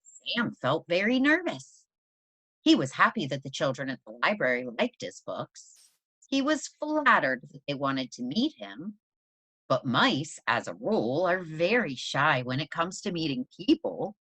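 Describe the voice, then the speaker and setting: A woman reading a children's story aloud as a teacher would, in an expressive, deliberate manner for young listeners. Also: low voice, breathy edge, dry recording, poor audio.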